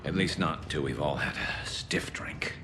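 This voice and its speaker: An actor voicing a dry-humored male alien warrior in a video game, with wry, deadpan wit. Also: very deep voice, a little slow. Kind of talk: video game cutscene acting. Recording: loud music behind.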